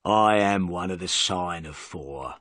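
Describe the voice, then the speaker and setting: A man reading a storybook, voicing a bitter middle-aged male prisoner who speaks slowly and low with resignation. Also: fairly bright tone, no room sound, studio quality. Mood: resigned.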